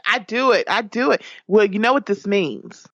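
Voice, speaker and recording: A woman's voice: medium pitch, expressive, moderate pace, a bit bright, dry recording, lo-fi sound. A woman who hosts her own podcast, talking as a guest in a playful, teasing way. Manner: playful and teasing, calls her friend "girl". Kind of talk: casual conversation.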